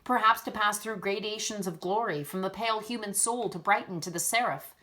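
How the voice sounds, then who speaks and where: A woman reading as a calm, pious schoolgirl. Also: mid-range voice, brisk, close to an everyday voice. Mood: earnest, serene.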